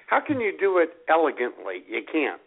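Gravelly husky guy on a cordless phone, slow punny jokes, bouncy pitch, tinny line. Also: huge pitch swings. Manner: dry punning jokester. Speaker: podcast co-host. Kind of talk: casual comedic chat.

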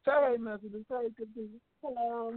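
A man co-hosting a gospel radio talk show as a minister, joking around and calming his co-host in an easygoing way. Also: extremely high pitch, vocal fry, no echo. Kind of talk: casual gospel radio banter. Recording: dry tinny phone-line audio.

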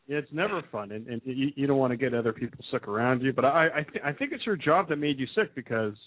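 A man's voice: mid-range voice, expressive, quick pace, slightly rough, no echo. A man in his thirties hosting a podcast, laid-back and joking, with plenty of fillers. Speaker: podcast host, doctor. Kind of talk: casual radio-style podcast hosting. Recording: thin, phone-like sound.

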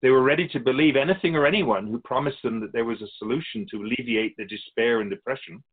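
Radio drama spirit, played by a man: calm, earnest, formal storyteller, measured and didactic. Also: medium pitch, breathy edge, narrow, tinny audio, natural voice. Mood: calm, instructive. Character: calm otherworldly male soul keeper, earnest storytelling spirit. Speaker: interviewee.

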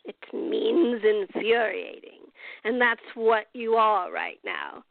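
Radio play role: imperious octogenarian woman acted, very high pitch, formal scolding, dry but phone-like sound. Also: slow pace, gravelly, no room sound, exaggerated voice. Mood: stern, scolding. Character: cantankerous, commanding woman in her eighties. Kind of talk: radio theater acting. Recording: tinny, phone-like narrow band.

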